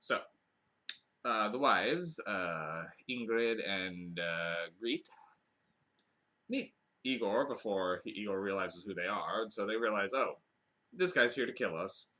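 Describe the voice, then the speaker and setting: A man with a fairly low voice that still sounds bright and forceful. His pitch swings a lot as he talks, and he rambles through a casual film review. He restarts his sentences often and drops in dry, wry asides. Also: animated, takes it slowly. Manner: rambling, with frequent fillers and restarts. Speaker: podcast host reviewing a film.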